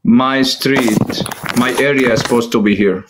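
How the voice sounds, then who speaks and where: A middle-aged man from Syria, chatting in a live-stream debate in slow, halting English and often searching for words, recorded on a phone held close in a room at home. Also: medium pitch, steady tone, background noise.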